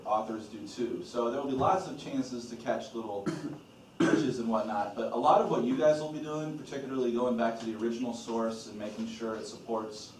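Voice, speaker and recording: A man from Illinois, a law review editor-in-chief, leading an orientation in a relaxed, loose way with lots of "uh" and "um". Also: mid-range voice, slight background noise. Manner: relaxed, loose delivery with frequent "uh" and "um". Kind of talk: casual spoken orientation talk. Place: meeting room with a group of students. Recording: roomy echo, voice from a distance.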